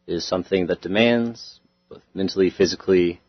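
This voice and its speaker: Deep-voiced playful college radio host. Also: male voice, a little fast, dry recording. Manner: playful, mock-dramatic asides to listeners. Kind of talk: casual radio interview. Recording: dry, slightly dull radio studio sound.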